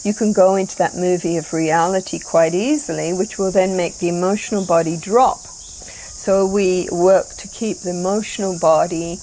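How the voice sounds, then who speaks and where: A woman's voice: lowish voice, fairly even intonation, moderate pace, warm tone, a little hiss or hum, poor audio. A woman speaking fluently and with assurance as a retreat facilitator. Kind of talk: explanatory talk.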